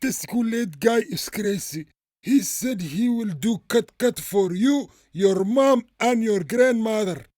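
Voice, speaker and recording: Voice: medium pitch, slow pace, darkish tone, no echo, studio quality, natural voice. A performer voicing a scolding, teasing puppet with a Somali accent, emphatic, in a comedy puppet show. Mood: apologetic, sly.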